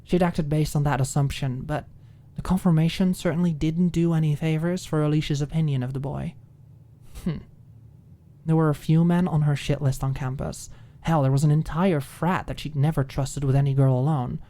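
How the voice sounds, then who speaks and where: Female audiobook narrator, low pitch, dark mellow tone, expressive ASMR fiction reading. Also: moderate pace.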